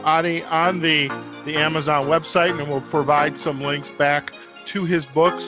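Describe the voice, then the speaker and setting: A man hosting a podcast interview in a conversational way, often pausing and restarting his phrases. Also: fairly high pitch. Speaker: podcast host.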